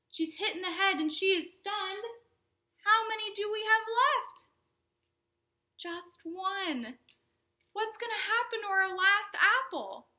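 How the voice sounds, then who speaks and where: A woman hosting a storytime for young children, speaking in simple explanatory phrases with an animated, sing-song delivery. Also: very high voice. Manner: animated, exaggerated questions and exclamations for young children. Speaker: children's librarian and storytime host.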